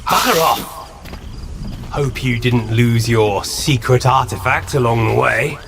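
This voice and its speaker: A man acting a gruff, suspicious, hot-tempered smuggler guide in a video game, blunt and needling in his talk. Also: medium pitch, slow pace. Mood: wry, businesslike. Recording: clear background noise, night insects.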